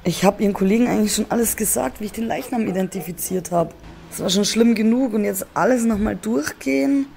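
Grieving mother, played by a woman, crime audio drama: soft-toned, gravelly, weary and reluctant. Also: medium pitch, fairly quick, slight background noise, natural voice. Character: grieving, weary mother of a dead young man.

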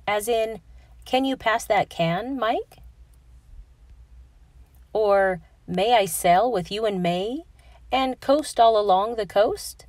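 Female children's read-aloud narrator, unhurried and very animated, careful diction, dry close sound. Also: mid-range voice, no room sound.

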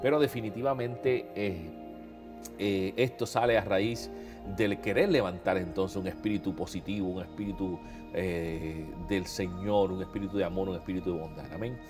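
A man in his fifties with a Puerto Rican accent speaks to his online flock, his voice nasal and congested after a nose procedure. He talks in a warm, rambling way, calling listeners beloved, in a fairly low voice. Music plays clearly underneath him. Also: steady tone, moderate pace. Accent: Puerto Rican Spanish. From Puerto Rico. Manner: warm, conversational, addresses viewers as beloved, frequent fillers. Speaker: pastor hosting an online devotional show. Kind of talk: casual devotional talk to viewers. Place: indoors before a holiday backdrop.

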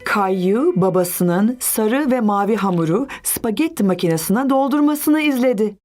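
A woman voicing a gentle, encouraging narrator and mother figure in a children's cartoon. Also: medium pitch, expressive, measured pace, soft background music, close to an everyday voice. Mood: gentle narrating.

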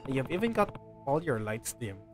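A male streamer with a mid-pitched voice reads game dialogue aloud. He is playful and ad-libs freely, tripping over words now and then. Game music plays faintly behind him. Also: big pitch swings, moderate pace. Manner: playful, ad-libbing, stumbles while reading. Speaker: livestreamer. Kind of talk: reading game dialogue aloud with casual commentary. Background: video game music.

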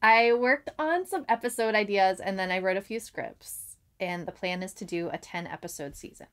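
A woman's voice: mid-range voice, normal speed, a bit bright, clear, resonant tone. A woman talking conversationally as a podcast co-host.

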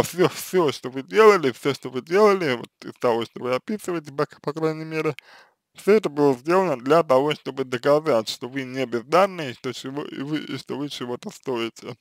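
Higher-voiced man, halting delivery. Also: no room sound. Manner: halting, repeats and restarts phrases. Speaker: counselor answering written questions. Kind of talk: reading a letter aloud, then informal advice.

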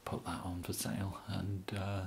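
A young man with an English accent whispering an ASMR roleplay as an awkward, polite kidnapper, halting and apologetic, close to the microphone. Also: sits low, creaky. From England. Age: young adult. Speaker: ASMR performer. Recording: steady background hiss.

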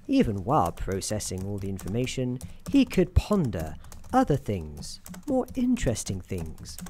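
A soft-spoken woman narrating an ASMR story in a deliberate, wry storybook manner, with keyboard typing behind her. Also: a bass voice. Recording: constant keyboard typing and clicking noise. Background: keyboard typing and tapping.

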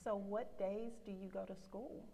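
A Southern American woman leads a church panel, her voice carrying a gravelly edge, upbeat and quick to say amen. She sounds like she is in a big church sanctuary, with a long reverb behind her. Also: medium pitch, fairly even intonation, mellow. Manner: upbeat, frequent amens.

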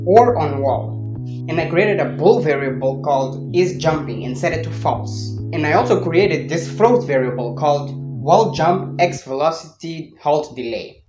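A young man hosting a scripted coding tutorial, explaining step by step in a playful manner into a handheld microphone. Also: fairly high pitch. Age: young adult. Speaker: tutorial host. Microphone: handheld microphone with furry windscreen. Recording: roomy echo, music underneath. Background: background music.

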